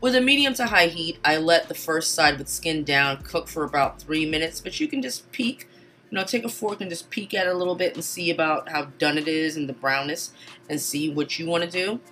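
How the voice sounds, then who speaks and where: Low, casual woman narrator for a home cooking voice-over, soft music under her. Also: fairly quick. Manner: relaxed, chatty instructions with casual asides. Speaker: cooking channel host. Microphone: home voice-over mic.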